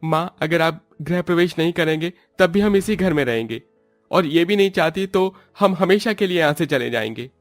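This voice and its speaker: A man's voice: fairly high pitch, expressive, moderate pace, dry recording, soft background music, voice pushed up. A man voicing a respectful but defiant young adult son in a moral-story cartoon, earnest and pleading.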